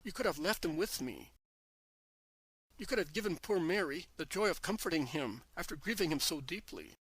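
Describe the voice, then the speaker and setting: A man's voice: fairly high pitch, animated, unhurried, sharp tone, slightly rough, no room sound, heavily acted, poor audio. A man voicing a grieving young woman disciple who pleads through sobs in a devotional story reading. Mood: anguished pleading. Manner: anguished, pleading, breaking cries.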